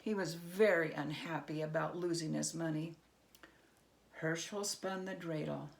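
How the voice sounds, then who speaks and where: A woman narrates a storybook at a slow, deliberate pace. Her voice sits a little low for a woman and has a noticeable creak in it. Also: fairly even intonation. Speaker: storybook reader.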